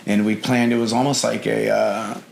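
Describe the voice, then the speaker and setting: A man's voice: fairly low pitch, flat delivery, normal speed, vocal fry, slight background noise. A middle-aged man talking as a podcast guest in casual interview chat, rambling with lots of fillers and "you know".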